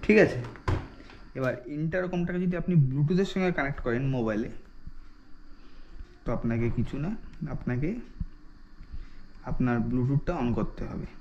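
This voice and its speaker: A man's voice: medium pitch, normal speed, pauses often, darkish tone. Man demonstrating gear, dull lo-fi sound. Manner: explanatory, step by step, repeats "okay" as a check. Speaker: product reviewer. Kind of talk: casual product demonstration vlog. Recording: dull lo-fi sound with faint noise.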